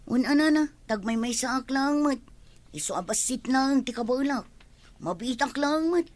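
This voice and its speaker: A young woman, played by an actress, polite and pleading. Her voice is high, in a radio drama style. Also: normal speed, natural voice. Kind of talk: Ilocano radio drama acting.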